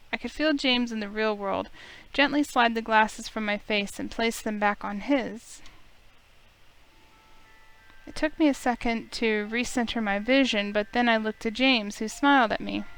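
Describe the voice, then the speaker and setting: A woman reading a visual novel aloud as an expressive first-person story, with music underneath. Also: on the high side, clear, resonant tone, poor audio.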